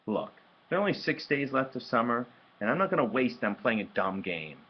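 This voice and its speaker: A practical young girl from a storybook, played by a man, exasperated with her brother and insistent in a matter-of-fact way. The voice is husky and moves freely up and down in pitch. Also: very animated, fairly quick, dull audio. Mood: hurt, annoyed.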